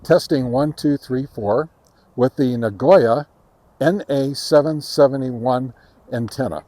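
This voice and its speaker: A man of average pitch hosts a casual gear test outdoors. He speaks haltingly, feeling his way through the explanation as he narrates each step. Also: dark tone, no echo. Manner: halting, hesitant delivery. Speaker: host of a radio gear review channel. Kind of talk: casual field-test commentary. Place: outdoors in a park.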